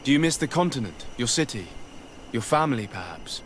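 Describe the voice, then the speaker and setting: An actor playing a calm, courteous, inquisitive male adventurer in a fantasy video game, polite and measured. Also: medium pitch, slight background noise. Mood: curious. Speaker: player protagonist. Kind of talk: fantasy game dialogue acting.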